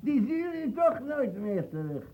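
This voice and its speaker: An actor playing a proud, defensive old colonial ex-soldier uncle in a radio play, talking in hesitant, scornful bursts. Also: male voice, very high voice, big pitch swings, moderate pace, a little mellow, exaggerated voice, low-quality recording. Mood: scornful, threatening. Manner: hesitant fillers, tag words, scornful laughing.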